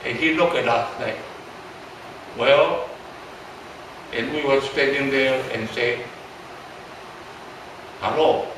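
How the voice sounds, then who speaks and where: A man in his seventies with a Taiwanese accent, preaching a storytelling sermon in a halting way, repeating words and asking his listeners questions. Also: mid-range voice. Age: 70s. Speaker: pastor. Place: church hall. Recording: echoey church hall from far off with background noise.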